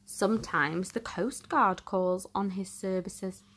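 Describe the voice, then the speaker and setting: A woman reading a children's storybook aloud in an expressive, theatrical way with deliberate emphasis. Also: mid-range voice, breathy edge. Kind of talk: dramatic storytime narration for young children.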